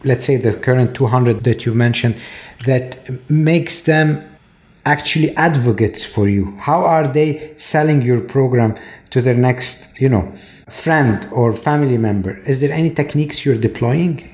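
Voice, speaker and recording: A male podcast host asks his guest long, open questions. His voice sits at a middle pitch and sounds mellow and dark. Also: measured pace. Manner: brief acknowledgments, then long questions. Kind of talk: interview questions.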